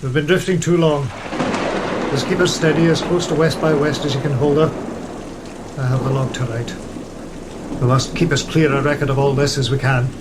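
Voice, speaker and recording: An actor playing a weary, haunted old sea captain who gives terse, measured orders in a gothic horror audio drama. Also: male voice, fairly high pitch, steady tone, heavy background noise, close to an everyday voice. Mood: weary command. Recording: loud rain behind the voice.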